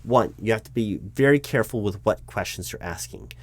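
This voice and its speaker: A man playing a frank, exasperated corporate recruiting manager in a one-sided audio roleplay, explaining things haltingly and correcting himself as he goes. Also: lowish voice, expressive. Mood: explanatory.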